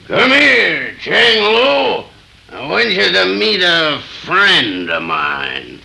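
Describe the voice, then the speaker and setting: Gravel-voiced bullying gunman, very slow, threatening, old radio melodrama. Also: high-pitched, huge pitch swings, pushed and bright, heavily acted. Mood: sneering. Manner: drawling, gruff, threatening. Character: menacing drunken gun-toting husband, bullying gambler. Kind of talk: 1930s radio melodrama acting. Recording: old 1930s radio recording.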